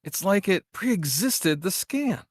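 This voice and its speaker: Urgent male tech agent for sci-fi animation, acted by a man; high voice, animated inflection. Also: slow pace, no room sound, clean studio sound, full character voice. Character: urgent, technical male agent reporting at his terminal. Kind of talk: animated pilot table read.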